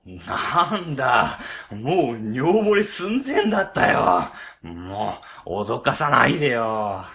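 A man playing a cowardly, dramatic middle-aged section chief in a comedic radio drama, stammering and excitable. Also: medium pitch, slow, hardly any pauses, heavily acted. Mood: relieved, grumbling. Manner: stammering, excitable, exaggerated frightened delivery.

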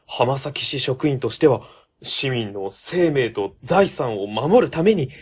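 Man as husky, earnest junior official. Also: mid-range voice, a little mellow. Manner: earnest, formal, alarmed. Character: earnest, anxious male junior city official. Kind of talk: comedic web radio drama acting.

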